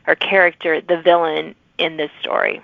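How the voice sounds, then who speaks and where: A woman's voice: deep voice, wildly swooping pitch, normal speed, creaky, no room sound. A woman hosting a casual author interview in a chatty, informal, agreeable manner. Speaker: podcast host. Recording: thin phone-like audio.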